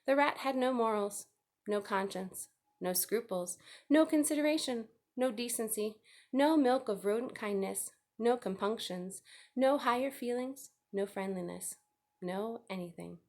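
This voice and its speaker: A woman's voice: a little high, a little slow, darkish tone. A woman narrating a children's story as a read-aloud. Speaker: storybook reader.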